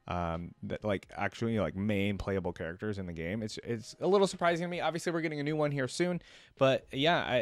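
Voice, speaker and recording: A man's voice: lowish voice, no room sound. A man hosting a reaction video, giving casual, rambling commentary in a conversational way.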